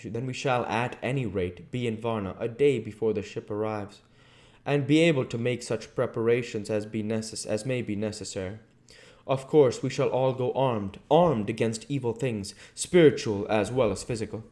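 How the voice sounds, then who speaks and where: A man acts out an elderly, commanding professor from a gothic storybook. His voice is lifted well up and pushed out emphatically. He speaks in formal, deliberate phrases with earnest authority. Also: medium pitch, a little mellow, voice pushed up, close to an everyday voice. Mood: commanding, urgent. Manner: emphatic, deliberate, oddly worded formal English. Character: elderly male professor leading a vampire hunt, commanding, earnest. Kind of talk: dramatic audiobook character reading.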